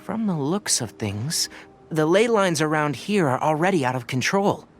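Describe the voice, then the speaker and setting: An actor playing a calm, scholarly young male researcher in a video game, articulate and measured as he explains. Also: a little high, faint music behind. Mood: calm, analytical.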